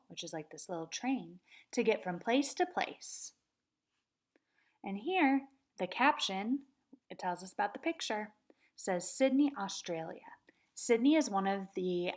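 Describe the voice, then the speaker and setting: A woman teaching kindergarten, reading a nonfiction book aloud and chanting words slowly for the children to repeat. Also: medium pitch. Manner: slow, patient, sing-song chanting of words for children to repeat. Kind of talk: read-aloud lesson for young children.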